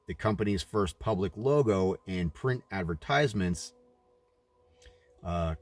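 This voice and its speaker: A man reading a religious book aloud in deliberate, emphatic phrases, breaking into informal commentary as he goes. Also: on the low side. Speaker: reader of a religious book, adding asides.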